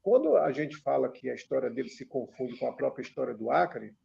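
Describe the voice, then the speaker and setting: A Brazilian man in his fifties, a guest on a radio interview, explaining at a measured pace with many filler pauses. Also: mid-range voice, expressive, gravelly, mellow, breathy edge. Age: 50s. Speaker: author and religious researcher guest. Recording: dull lo-fi radio sound.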